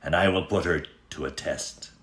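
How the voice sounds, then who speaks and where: A man's voice: low-pitched, moderate pace, fairly bright tone, reverberant. A man voicing a greedy, commanding king in a storybook fairy tale. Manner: commanding, imperious. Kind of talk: storybook character voice.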